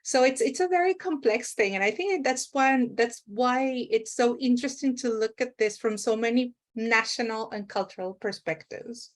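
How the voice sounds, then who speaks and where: A woman's voice: fairly high pitch, normal speed, few pauses. A woman speaking unscripted on a panel, explaining things in a conversational way. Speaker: panelist and podcast contributor.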